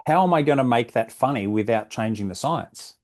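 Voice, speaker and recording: A man's voice: medium pitch, animated, brisk, darkish tone. A man hosting a webinar, chatty and asking questions. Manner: chatty, asks questions, laughs easily. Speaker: webinar host. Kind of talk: webinar conversation. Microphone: video call.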